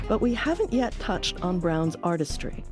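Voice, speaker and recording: A woman narrates a documentary in a low-pitched voice, reading from a script as its host. Music plays clearly behind her. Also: very animated, moderate pace.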